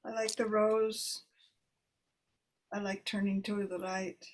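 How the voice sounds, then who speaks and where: A woman's voice: mid-range voice, level tone, takes it slowly. A woman hosting an online poetry reading in a casual, conversational way. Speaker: host introducing poets. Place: video call. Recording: noisy video call audio with clicks.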